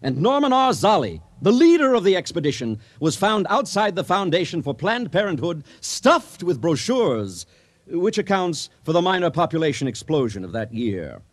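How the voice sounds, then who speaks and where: An actor playing an exuberant comic storyteller in a radio drama, husky and grandly mock-solemn. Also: male voice, fairly high pitch, sing-song, normal speed. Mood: mock-solemn, wry. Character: exuberant comic storyteller addressing a wedding crowd. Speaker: narrator.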